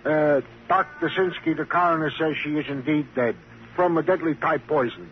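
Casting: man playing talkative, eager cop sidekick for vintage radio drama, higher-pitched male, comic long-winded delivery, dull sound. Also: moderate pace, natural voice. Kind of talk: 1950s radio crime drama acting. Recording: noisy, muffled vintage radio recording.

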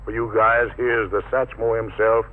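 A man hosting a wartime radio variety show, announcing in a formal, measured manner. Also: on the low side, little pitch movement. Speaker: radio host. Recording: tinny, noisy 1940s radio recording.

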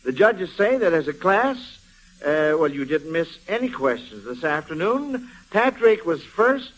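A man's voice: on the high side, big pitch swings, measured pace, poor audio. An American man hosting a 1940s radio quiz show in a folksy, old-fashioned way. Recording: vintage 1940s radio broadcast.

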